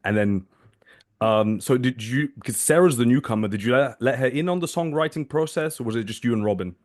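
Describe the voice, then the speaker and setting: A male podcast host asks long, meandering questions in a casual, eager way. His speech is full of "you know" and "like". His voice sits at a mid pitch for a man. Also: fairly quick. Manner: enthusiastic, rambling questions with many fillers.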